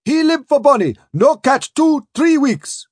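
A man voicing a lively West African boatman in an audiobook, calling out in singsong pidgin. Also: very high-pitched, measured pace, no echo, studio quality, voice pushed up, full character voice. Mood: cheerful, calling out. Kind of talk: dramatised audiobook dialogue.

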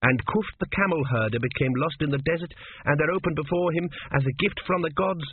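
A man's voice: on the high side, fairly quick, breathy edge, dry recording, pitched above the performer's own voice. A man voicing an earnest, anxious young prince who pleads and worries. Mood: pleading. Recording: tinny phone-like sound, faint hiss.

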